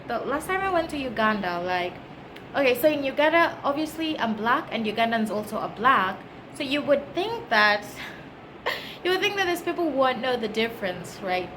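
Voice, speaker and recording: A woman from Kenya with a Kenyan accent, chatting casually to camera as a travel vlogger and explaining things in a conversational way. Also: high-pitched. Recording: clearly noisy background ambience.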